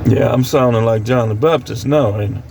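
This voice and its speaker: A man's voice: mid-range voice, moderate pace, creaky, noisy background. An American man preaching informally on scripture in a plain-spoken, admonishing way, repeating phrases for emphasis. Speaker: street preacher.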